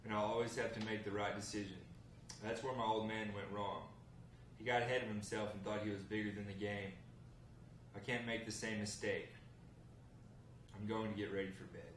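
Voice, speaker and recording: A man's voice: fairly low pitch, fairly even intonation, a little fast, long pauses, fairly bright tone, natural voice. A man reading the part of a confident, defensive husband who is joining a gang, in a drama script table read. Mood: sober, resolved. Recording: echoey room, distant mic, faint noise.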